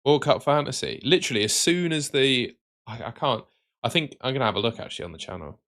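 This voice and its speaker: A man hosting a live stream with casual, matey chat that can turn excitable and sing-song. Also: medium pitch, fast. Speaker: livestream host.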